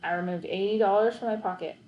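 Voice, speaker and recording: A woman's voice: mid-range voice, steady tone, quick pace, dull audio. A woman narrating a children's novel aloud as a storybook reader. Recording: echoey, roomy sound.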